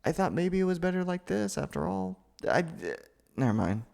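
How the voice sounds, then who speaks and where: A man's voice: high-pitched, big pitch swings, moderate pace, creaky, darkish tone, full character voice. A young man playing a nervous, sweet, awkward roommate in an ASMR roleplay, stammering and hesitant. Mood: flustered, surprised. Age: young adult. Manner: flustered, stammering, hesitant, sighing. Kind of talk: ASMR boyfriend roleplay, acted monologue.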